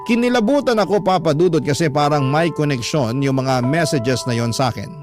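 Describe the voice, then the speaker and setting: A man narrating a troubled letter-writer's first-person story for a radio drama in a measured storytelling manner. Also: a little high, expressive, over music, lightly acted. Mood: somber, uneasy. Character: troubled female teacher telling her story in a letter.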